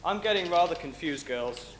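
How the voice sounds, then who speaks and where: A man's voice: fairly high pitch, lively intonation, moderate pace, natural voice. A man playing an exasperated, blustering tribesman in an amateur comic stage pantomime, flustered and exclamatory. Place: theatre stage. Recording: echoey stage recording, background hiss.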